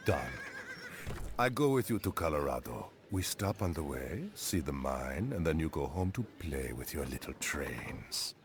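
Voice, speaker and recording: An actor voicing a cruel, threatening villain leader in a video game cutscene, with slow, clipped phrasing. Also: male voice, extremely low pitch, lively intonation, background noise, exaggerated voice. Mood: sly bargaining. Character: menacing, cruel villain leader of a secret circle, deep-voiced.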